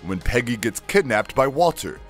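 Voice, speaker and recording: A man's voice: mid-range voice, big pitch swings, normal speed. Man narrating cartoon recaps over music. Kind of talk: scripted narration of cartoon episodes.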